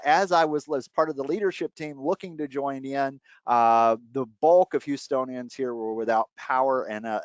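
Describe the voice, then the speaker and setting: A man's voice: mid-range voice, clear, resonant tone, no room sound. A man hosts a podcast in a loose, chatty way, with fillers and laughter. His voice sits in a middle range. The audio is dry and a little dull. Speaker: podcast host. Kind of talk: casual podcast chat.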